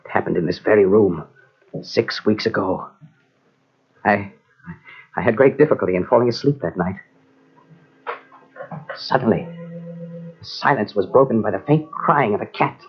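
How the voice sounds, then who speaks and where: An actor playing a tormented, bitter husband in an old-time radio mystery, with a dramatic, brooding delivery. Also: male voice, lowish voice, moderate pace, pauses often, lightly acted. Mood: haunted, confessional. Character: tormented, bitter husband confessing his crime to a doctor. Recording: muffled vintage radio recording from cassette.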